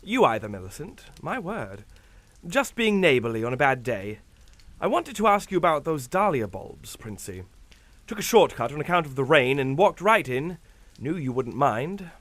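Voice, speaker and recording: An actor playing a bluff, chummy English captain and neighbour in a radio suspense drama, hearty and clipped. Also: male voice, fairly high pitch, sing-song, normal speed, a bit bright, no room sound. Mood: hearty, breezy.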